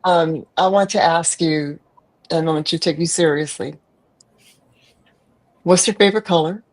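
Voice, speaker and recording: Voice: mid-range voice, moderate pace. A podcast host asking playful, teasing questions in a casual chat.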